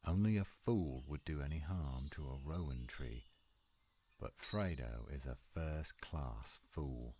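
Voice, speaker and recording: A man playing a gruff but romantic male werewolf in an intimate roleplay audio, with a teasing, folksy, laid-back manner. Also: rumbling low, slow, a little mellow. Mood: disdainful, grumbling. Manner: teasing, folksy, drawling endearments. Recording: noisy outdoor ambience with birdsong, insects. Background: birdsong and insects in woods.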